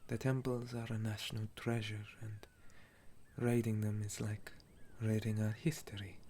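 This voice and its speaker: A man voicing a gentle, centuries-old sun elf and retired soldier in an intimate ASMR roleplay, soft and breathy, often slipping into a whisper, with a hesitant storytelling manner. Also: lowish voice, flat delivery, slight background noise, close to an everyday voice. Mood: wistful, gentle. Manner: slow, hesitant, softly chuckling storyteller.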